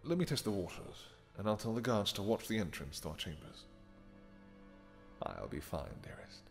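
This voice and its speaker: A man playing a suave male vampire king, smooth, flirtatious and dryly amused, in an audio roleplay. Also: lowish voice, big pitch swings, moderate pace. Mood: teasing. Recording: long reverb with music behind.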